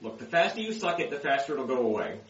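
A man's voice: mid-range voice, sing-song, quick pace, a loud crowd, natural voice. A man playing a bumbling, insecure doctor who rambles and stammers, then screams insults at himself, on a dull lo-fi recording. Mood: coaxing. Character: bumbling insecure male doctor, self-loathing.

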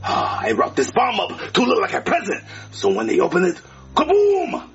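A shrill, gravelly villain monkey. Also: male voice, sing-song, fairly quick, fairly bright tone, lo-fi sound, soft background music, full character voice. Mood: scheming, gloating. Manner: theatrical, gloating, maniacal laughter. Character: cackling villain monkey, boastful and vengeful.

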